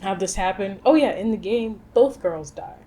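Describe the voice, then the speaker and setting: A woman chatting casually and with strong opinions as co-host of a film review show, in animated, slangy speech with some swearing. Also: medium pitch, normal speed.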